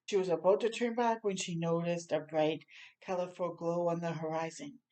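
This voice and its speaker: A woman reading a children's story aloud expressively, with animated asides and questions to young listeners. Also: fairly low pitch, normal speed.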